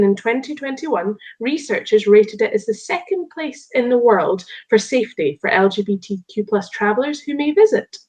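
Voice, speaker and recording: A woman's voice: mid-range voice, rough recording. A woman co-hosting a show, reading a prepared segment with chatty, jokey asides. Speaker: co-host presenting a segment. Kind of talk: scripted segment read with asides.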